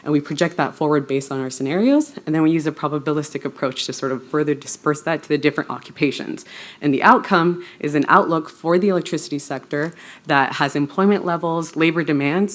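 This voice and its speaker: A woman with a low voice presents research at a live forum. She explains technical material in an informal way, as a conference speaker would. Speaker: researcher presenting a poster pitch.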